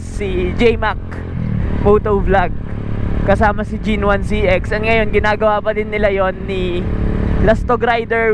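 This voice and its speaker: High-pitched Filipino man, casual vlogger. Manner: informal, switches between Tagalog and English. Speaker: motovlogger, host. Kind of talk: casual vlog talk, product demo. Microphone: helmet-mounted mic into action camera. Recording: noisy outdoor motorbike ride, engine and traffic.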